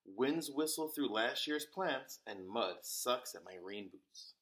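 A man reading a children's picture book aloud as a storyteller, with deliberate, dramatic emphasis. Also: medium pitch, lively intonation, a bit bright. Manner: deliberate, dramatic emphasis with occasional whispers and exclamations.

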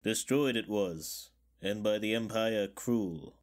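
An actor playing a wise old Jedi mentor, calm and solemn, in a measured Shakespearean dramatic reading. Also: male voice, on the low side. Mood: grave, foreboding. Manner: measured, archaic theatrical verse.

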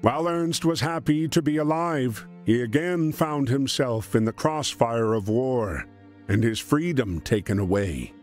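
A man narrating a war story in a video game, reading a script in a measured, solemn storyteller's manner. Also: medium pitch, lively intonation, darkish tone, soft background music.

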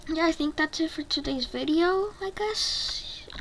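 Female gaming creator, slow-paced and mischievous, casual commentary over clear noise and keyboard clicks. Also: very high voice. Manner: playful, teasing, mischievous. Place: indoors at a computer.

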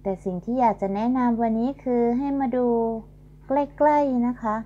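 A woman's voice: on the high side, moderate pace, warm tone. A middle-aged woman hosting a garden channel, politely explaining flowers as she films outdoors with a handheld phone. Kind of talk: casual garden tour narration. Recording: dull lo-fi sound with faint hum.

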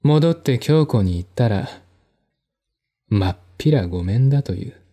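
A man giving a measured, deliberate literary reading of a mystery novel as a narrator. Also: mid-range voice, expressive, warm tone. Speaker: audiobook narrator.

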